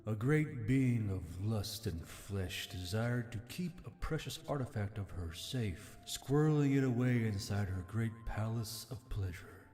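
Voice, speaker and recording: A man's voice: fairly low pitch, expressive, a little slow, few pauses, dark tone. A man playing an ancient, aloof spirit bound in a torque, speaking in formal, archaic storytelling phrases for an audiobook. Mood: musing, narrating. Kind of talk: scripted audiobook character acting. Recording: long reverb, music behind.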